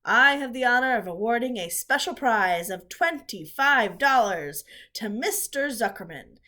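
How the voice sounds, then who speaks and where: Loud, formal fair announcer, speechifying, woman reading the part, storybook voice. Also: on the high side. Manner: formal, speechifying, drawn-out emphasis. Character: pompous male fair announcer on a loudspeaker.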